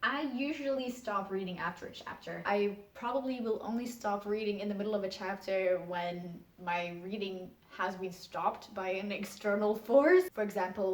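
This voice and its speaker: A young woman talks to camera about her reading habits in a chatty, frank way, with a medium-pitched voice that she keeps in a low register. Her voice rings in an echoey room at home. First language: Dutch. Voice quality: speaks in a low register in English. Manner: chatty, frank, laughs often, emphatic refusals. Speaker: book vlogger. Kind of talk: casual answers to a tag video, talking to camera.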